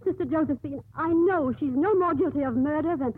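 A woman's voice: high voice, fairly quick, no room sound, lightly acted. Woman playing an earnest nun, muffled old radio. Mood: urgent, pleading. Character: earnest, compassionate nun driven by inner conviction. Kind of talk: 1950s American radio drama acting. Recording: muffled, narrow-band 1950s radio broadcast.

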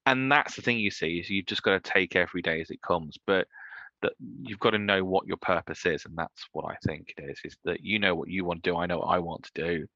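A man in his thirties speaks as an interview guest in a very deep, forceful voice. He rambles and often restarts his sentences. The sound is dry and a little dull. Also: fairly quick, no echo. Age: 30s. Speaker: guest, entrepreneur. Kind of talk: casual interview chat.

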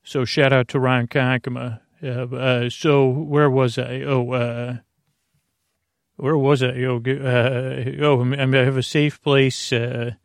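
A man with a creaky voice hosting a sleep podcast, delivering a lulling, halting bedtime monologue that meanders through digressions. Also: medium pitch, level tone, no echo, studio quality. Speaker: podcast host.

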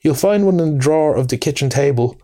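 A man reading aloud voices a cheery, bustling male water rat who talks in a brisk, hearty, encouraging way. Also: fairly high pitch, warm tone, close to an everyday voice. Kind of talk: storybook reading.

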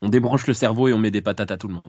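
Podcast host, male, relaxed and teasing, dry close sound. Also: on the low side, rapid-fire, dry recording, dull audio. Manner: relaxed, teasing, reads out listener questions. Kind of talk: casual podcast chat.

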